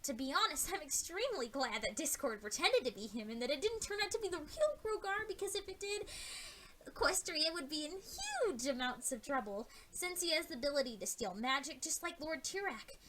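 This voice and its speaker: Lady doing a young cartoon pony princess, earnest and dutiful, lively high voice. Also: fairly quick, a little hiss or hum. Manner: earnest, emotional.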